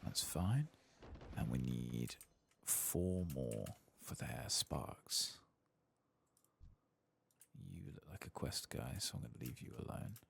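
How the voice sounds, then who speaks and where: A man giving soft-spoken, hushed ASMR commentary as he plays a game, muttering to himself in an unhurried way. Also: a bass voice, expressive, creaky, slight background noise. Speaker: streamer playing a fantasy game.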